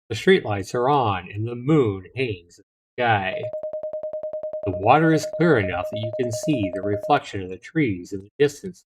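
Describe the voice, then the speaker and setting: A man's voice: on the low side, low-quality recording. A middle-aged man hosting a livestream, reading a visual novel aloud with casual asides to viewers and occasional stumbles over the text. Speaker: livestream host.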